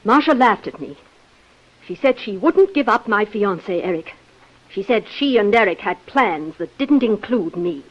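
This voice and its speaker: A woman playing a jealous, anxious society lady in a 1950s radio melodrama, speaking in a theatrical, fretful, halting way. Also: mid-range voice, animated. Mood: bitter, indignant. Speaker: radio actress. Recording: muffled old-time radio broadcast recording.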